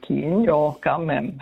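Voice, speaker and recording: An older woman with a foreign accent calls into a radio show. She speaks slowly and hesitantly, and her voice comes through a thin, phone-like line. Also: sits low, gravelly, breathy edge. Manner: slow, hesitant. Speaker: caller. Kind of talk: phone-in testimony.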